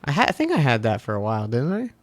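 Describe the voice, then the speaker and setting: A man's voice: mid-range voice, wildly swooping pitch, very fast. A man in his thirties casually chatting and joking with his chat while streaming a game. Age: 30s. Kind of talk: casual live-stream commentary over gameplay.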